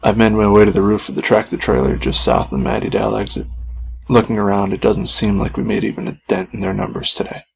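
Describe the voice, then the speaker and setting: A man's voice: lowish voice, fast talker, vocal fry, breathy edge. A man reading a scripted first-person horror story as its author and narrator.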